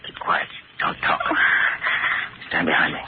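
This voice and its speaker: A man's voice: a little slow, lightly acted. An actor playing a shrewd, commanding man posing as a detective, confident and clipped, in an old-time radio drama. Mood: menacing. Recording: muffled, very noisy old radio recording.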